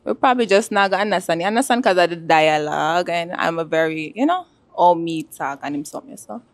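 A woman's voice: on the low side, expressive, fast talker. A young Jamaican woman with a Jamaican accent, a dating show contestant talking frankly and teasing on a handheld mic. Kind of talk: casual chat.

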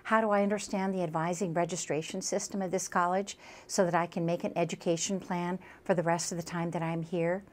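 A woman's voice: on the low side, flat delivery, brisk, hardly any pauses. A woman speaking as a college instructor, explaining a program in an emphatic, promotional way. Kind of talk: explanatory promotional talk about a class.

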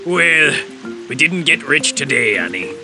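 An actor playing Captain John, a jolly bearded pirate captain, in a cartoon with music behind. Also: male voice, fairly high pitch, sing-song, a little slow. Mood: hearty.

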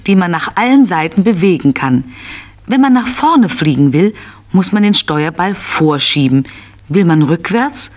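A woman's voice: on the low side, darkish tone. A woman narrating a scripted children's story, telling it as if to small children.

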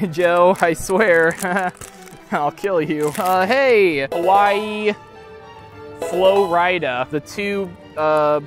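A young man rambling through a toy unboxing outdoors by a field, joking deadpan and halting with lots of fillers. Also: sits high. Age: young adult. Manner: rambling, self-mocking, halting with many fillers. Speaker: YouTuber unboxing toys. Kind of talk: jokey deadpan unboxing vlog. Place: outdoors by a field under trees. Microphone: lapel mic.

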